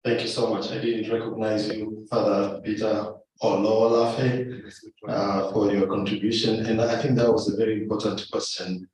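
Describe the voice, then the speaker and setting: A man's voice: fairly low pitch, little pitch movement, normal speed, a little mellow. Echoey, distant man moderating a meeting. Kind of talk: formal meeting moderation, unscripted. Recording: distant, very echoey room, faint noise.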